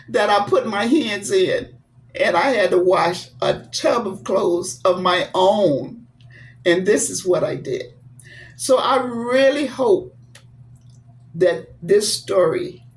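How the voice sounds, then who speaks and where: Seventy-year-old woman, unhurried and sincere, devout and encouraging, faint hiss behind. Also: on the low side, a little mellow. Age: 70s. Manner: slow, earnest, encouraging; devout, blessing viewers. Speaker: vlogger. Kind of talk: personal storytelling to camera.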